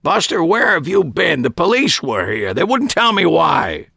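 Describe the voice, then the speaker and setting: A man's voice: a little high, huge pitch swings, normal speed, gravelly, pushed and bright, slightly rough, no room sound, clean studio sound, voice pushed up, full character voice. A man voicing an exasperated elderly male magic shop owner in an audiobook. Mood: fretful. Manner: exasperated, emphatic.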